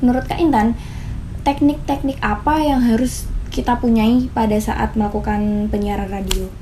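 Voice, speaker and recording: A woman's voice: a little high, fairly even intonation, normal speed, dark tone, a little hiss or hum, poor audio. A woman, interviewing casually and often searching for words. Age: 20s. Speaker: student interviewer.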